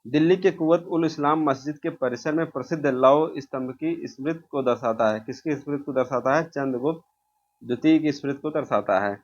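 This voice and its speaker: A man teaches in a medium-pitched voice. He goes through exam questions informally, posing each one and then explaining the answer himself. The recording is dull and lo-fi, with a muffled top end. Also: fairly quick. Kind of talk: informal lesson walking through quiz questions.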